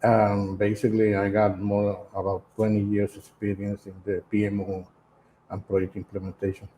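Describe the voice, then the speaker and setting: A man's voice: lowish voice, completely flat delivery, moderate pace, dark tone, a little hiss or hum, low-quality recording. A man giving a plain, hesitant self-introduction as a panel guest. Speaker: panel guest, PMO head.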